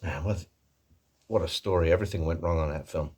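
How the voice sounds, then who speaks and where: A low, raspy man doing an American male vlogger voice. He rambles in a casual, hesitant way and pokes fun at himself as he presents to his viewers. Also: brisk, darkish tone. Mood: self-mocking, chatty. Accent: put-on American. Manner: rambling, self-deprecating, hesitant. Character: chatty male American video presenter, self-mocking and rambling. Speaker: video host and actor. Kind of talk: casual vlog commentary.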